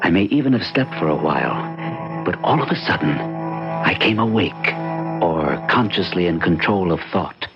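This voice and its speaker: An actor playing a brooding, educated mathematician, measured and melancholy, in an old-time radio drama. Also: male voice, low voice, expressive, loud music behind the voice. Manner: measured, formal, introspective. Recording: muffled vintage radio recording.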